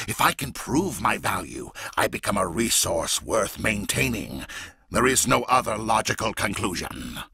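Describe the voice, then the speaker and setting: A man voicing a menacing, fanatical alien warlord for a video game, gravelly and raspy, with a grandiose, deliberate, intense delivery. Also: on the low side, sharp tone, dry recording, studio quality, full character voice. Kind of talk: dramatic video game voice acting.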